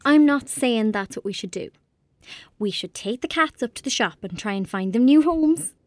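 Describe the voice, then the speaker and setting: A woman's voice: on the high side, expressive, moderate pace, no echo, natural voice. A woman with a rural Irish accent playing a tender, wistful young girl in an audio drama. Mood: bossy, persuasive. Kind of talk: audio drama acting, monologue and dialogue.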